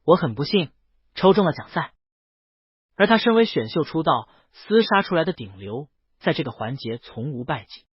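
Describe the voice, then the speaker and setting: A male audiobook narrator reads a first-person story in a slightly high, husky voice. His intonation is very lively. The recording is dry and a little muffled. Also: normal speed, no room sound.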